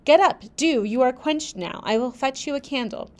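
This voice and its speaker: A woman's voice: sits high, lively intonation, moderate pace, darkish tone, pitched above the performer's own voice. A woman reading aloud, voicing an earnest young governess who speaks urgently but politely.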